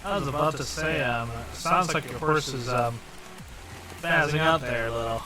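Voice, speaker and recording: A man's voice: mid-range voice, normal speed, over loud music. A man chatting casually with a friend while they play a video game together. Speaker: co-op gaming partner. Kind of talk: casual gaming banter.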